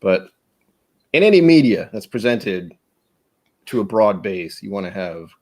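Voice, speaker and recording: A man's voice: fairly low pitch, big pitch swings, a little slow, darkish tone. A man hosting a whiskey tasting livestream, chatting casually in a jokey, rambling, self-deprecating way. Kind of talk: casual chat.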